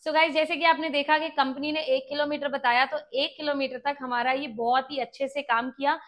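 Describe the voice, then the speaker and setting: A woman's voice: high voice, steady tone, fairly quick, fairly bright tone, slight background noise. A woman with an Indian accent presenting a product demonstration in a conversational, explanatory way, mixing in English words. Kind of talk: walkie-talkie review with demonstration.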